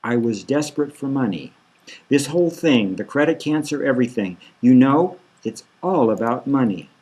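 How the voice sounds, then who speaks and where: A man reading a novel as a desperate, anguished male patient, plaintive and halting. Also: mid-range voice, lively intonation, darkish tone, breathy edge.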